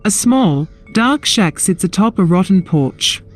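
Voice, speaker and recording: A woman narrates a scripted fantasy radio drama. Her pitch moves animatedly through the descriptions, with soft music underneath. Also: animated, measured pace.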